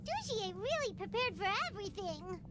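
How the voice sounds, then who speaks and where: A woman voicing a tiny childlike fairy companion in a fantasy video game, expressive and squeaky. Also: moderate pace, heavy background noise. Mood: surprised.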